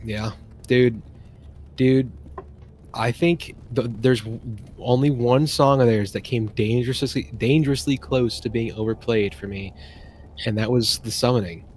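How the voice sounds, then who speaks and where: A man's voice: medium pitch, steady tone, a little slow, a little mellow, slight background noise. Guy gushing and rambling in a chill chat, medium voice, keeps restarting sentences. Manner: rambling, enthusiastic, trails off and restarts often. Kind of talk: casual stream chat.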